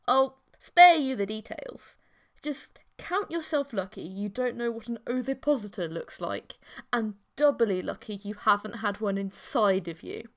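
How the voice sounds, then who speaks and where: A woman narrating a comic first-person story as an audiobook, in a wry, expressive manner. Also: mid-range voice, a little slow.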